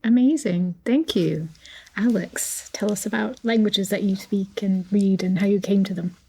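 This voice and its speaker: A woman's voice: mid-range voice, measured pace, gravelly, warm tone, husky, poor audio. A woman hosting a podcast, asking curious, encouraging questions of her guests. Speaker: podcast host. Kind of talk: conversational podcast interview.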